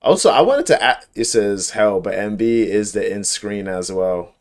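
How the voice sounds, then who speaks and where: Low-voiced, slangy male game streamer. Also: expressive, normal speed. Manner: slangy, profane, informal; enthusiastic, emphatic, repeats himself. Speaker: livestreamer. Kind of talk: casual chat while gaming.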